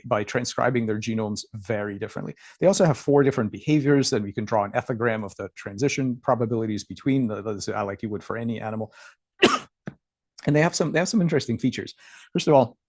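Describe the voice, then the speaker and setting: Halting man lecturing, fading voice. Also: mid-range voice, darkish tone, dry recording, studio quality. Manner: halting, many fillers and repeated words. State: losing his voice, coughing. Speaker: scientist giving a lecture. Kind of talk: academic talk over slides.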